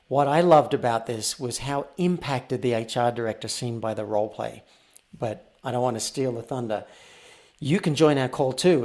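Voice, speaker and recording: A man with a mid-pitched voice hosts a coaching podcast. He talks conversationally and explains things in a measured, orderly manner. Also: a little mellow. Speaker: podcast host and communication coach. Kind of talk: conversational coaching and show introduction.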